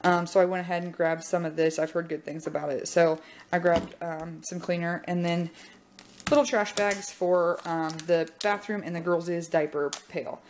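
A young woman talks through her groceries in a casual, chatty way, with frequent "um" pauses. Her voice sits a little low. It was recorded on a camera mic at a kitchen table, with a faint hiss behind her. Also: steady tone. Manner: chatty, upbeat, many "um" pauses. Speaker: vlogger showing a grocery haul. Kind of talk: casual chat. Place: home kitchen, indoors.

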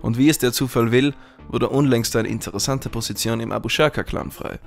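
A man acts a friendly kebab shop owner on the shady side. His mid-pitched voice crackles with fry, in the style of a detective audio spoof. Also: brisk, soft background music, natural voice. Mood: genial. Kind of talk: parody detective radio play.

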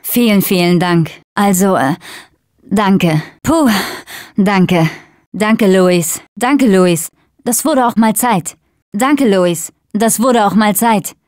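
A young woman voicing a tough video game survivor of a zombie apocalypse in short, casual, slangy, expressive lines. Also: medium pitch, slow, dry recording, clean studio sound, natural voice. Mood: grateful. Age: young adult.

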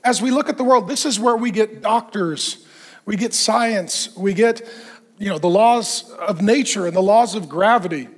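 High-voiced pastor preaching, a bit hoarse. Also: male voice, moderate pace. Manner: animated, conversational preaching with self-deprecating humor. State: losing his voice, clearing throat. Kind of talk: sermon. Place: church stage, indoors.

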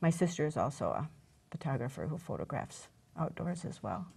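Chatty woman with a low voice. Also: very animated, brisk, creaky, a little mellow, breathy edge. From rural Wisconsin, USA. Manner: chatty, rambling with restarts and self-deprecating laughs. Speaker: guest craft presenter. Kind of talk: casual chat while demonstrating a craft. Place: indoor TV studio set.

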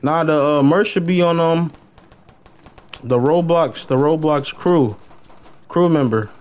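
A man's voice: on the high side, slow, darkish tone. A young American man chatting casually and slangily as he livestreams a game, often repeating his phrases. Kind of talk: casual gaming stream chatter. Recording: dull lo-fi game-stream mic.

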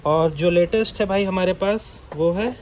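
Male vlogger, fairly high voice, casual explainer, repeats key points, noisy indoor recording. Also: a little slow. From India. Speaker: vlogger and host. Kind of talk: casual vlog chat, explaining products to viewers. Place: small indoor motorbike accessory shop. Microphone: action camera held by the speaker. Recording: noisy room with clear ambient noise.

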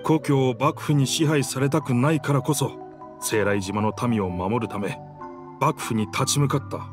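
A man's voice: medium pitch, normal speed, over music, close to an everyday voice. A man voicing a game character, the proud, wistful spirit of an old pirate warship, with rugged, old-fashioned, theatrical speech. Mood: bitter, sorrowful.

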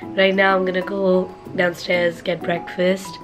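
A young woman with a hoarse, worn voice, talking casually to camera in her dorm room and keeping her speech soft to spare her throat. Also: on the low side, monotone, unhurried, over music. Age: young adult. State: hoarse, lost voice from sore throat. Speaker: vlogger, college student. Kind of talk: casual vlog talk to camera. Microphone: handheld camera close up.

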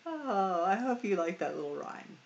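A middle-aged woman hosting a library storytime, reading picture books aloud in a deliberate, expressive way for young children. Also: medium pitch, vocal fry, dull audio. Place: small room at home or office, indoors.

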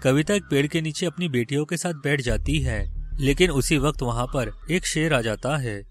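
A man's voice: mid-range voice, moderate pace, soft background music. A man narrating a scripted children's moral story.

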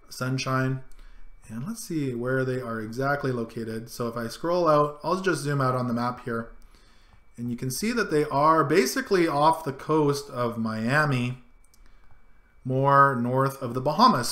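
A man's voice: mid-range voice, bright, forceful tone, reverberant. A middle-aged man casually talking through a screen-share tutorial, guiding each click and zoom step by step. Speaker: travel vlogger. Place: home room, indoors.

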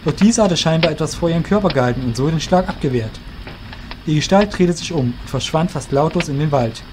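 A man's voice: fairly high pitch, lively intonation, a little fast, darkish tone, a little hiss or hum. A man narrating a fantasy audio drama from a script.

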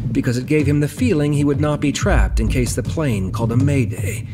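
A male narrator reads a scripted novel in a medium-pitched voice. Music plays clearly underneath his narration.

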